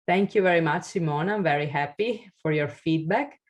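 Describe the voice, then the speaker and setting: A woman's voice: sits low, a little fast. A woman giving a webinar workshop for founders and answering questions, hesitant and full of fillers and restarts, speaking over a video call. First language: Italian. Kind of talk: webinar presentation and Q&A.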